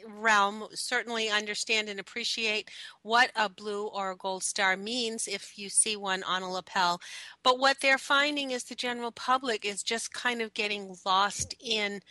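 A woman co-hosting a talk radio show, slightly raspy and hoarse from a cold, chatting casually with many ums and restarts. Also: mid-range voice, pushed and bright, no echo, rough recording. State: hoarse from the tail end of a cold.

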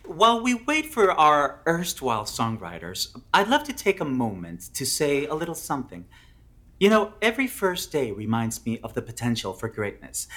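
An actor playing a self-important, name-dropping writer giving a speech. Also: male voice, a little high, wildly swooping pitch, normal speed, bright, forceful tone, slight background noise, heavily acted. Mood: pompous. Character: pompous male book writer, self-important.

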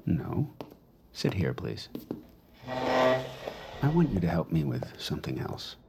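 An actor playing a wealthy, controlling male client, terse and quietly authoritative, in an understated audio drama. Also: deep voice, very animated, slow pace, gravelly, over loud music, full character voice. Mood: quietly commanding.

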